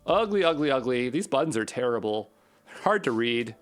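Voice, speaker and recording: A man's voice: medium pitch, big pitch swings, moderate pace, soft background music. A man giving an unscripted coding walkthrough as an instructor, thinking aloud and muttering short repeated phrases as he puzzles things through. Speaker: programming instructor.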